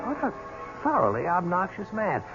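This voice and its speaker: An actor playing a polite but indignant young hustler and suitor in a 1970s radio drama. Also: male voice, high voice, huge pitch swings, moderate pace, music in the background, exaggerated voice. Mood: subdued. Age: young adult. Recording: dull 1970s radio recording.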